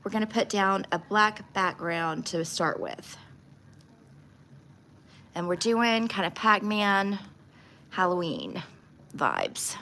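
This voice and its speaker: A woman's voice: medium pitch, sing-song, takes it slowly, long pauses, pushed and bright. A woman hosting a casual livestream, talking informally and bluntly as she gives her opinions. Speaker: livestream host doing nail art. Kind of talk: casual livestream chat with true crime commentary.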